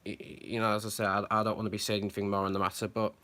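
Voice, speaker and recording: A man with a fairly low voice talks off the cuff about a matter that worries him. He stops and restarts often, filling gaps with ums. His tone stays earnest and concerned. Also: level tone, racing, pushed and bright. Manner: hesitant, many fillers and restarts. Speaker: channel host. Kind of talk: unscripted commentary to camera.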